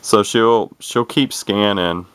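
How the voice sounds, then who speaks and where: A man giving a casual, unscripted radio demo in a folksy, rambling way, thinking out loud as he goes. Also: fairly low pitch, slow, very noisy. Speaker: hobbyist demonstrating a CB radio.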